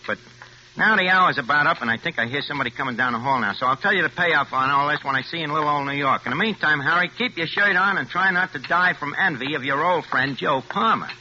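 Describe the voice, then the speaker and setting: A cocky street-talking forger. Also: male voice, a little high, fast, sharp tone. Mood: gloating. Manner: street slang, tough-guy drawl. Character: wisecracking crooked American forger man, cocky. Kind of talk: 1940s radio acting. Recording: dull old radio recording, faint hiss.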